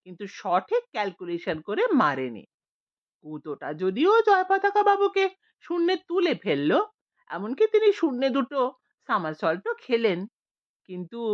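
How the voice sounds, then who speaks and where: A woman narrating a comic story expressively, with theatrical emphasis and dramatic pauses. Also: mid-range voice, highs cut off.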